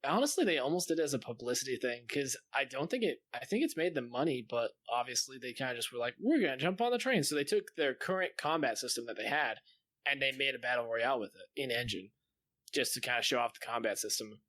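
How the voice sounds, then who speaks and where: A man's voice: medium pitch, expressive, fast, a bit bright, dry recording. A man co-hosting a gaming podcast, chatting casually about video games. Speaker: podcast co-host.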